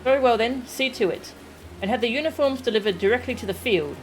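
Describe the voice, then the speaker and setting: A woman's voice: a little high, expressive, faint music behind, lightly acted, rough recording. Woman reading as a formal, commanding military captain. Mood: businesslike. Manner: measured, formal, authoritative wording. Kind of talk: video game dialogue read aloud.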